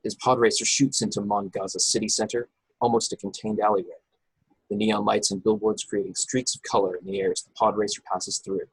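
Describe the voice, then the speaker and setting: A man's voice: on the low side, level tone, brisk, slightly rough, no room sound, poor audio. A man reading screenplay action and scene headings as the narrator of an informal table read. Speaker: narrator reading stage directions.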